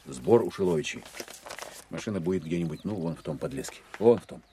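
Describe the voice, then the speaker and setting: An actor playing a stern, weary army captain in a war audio drama, speaking in a curt, authoritative, matter-of-fact way. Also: male voice, low voice, lively intonation, a little fast, mellow, a little hiss or hum. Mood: firm, commanding. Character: stern, weary male army captain leading a search team.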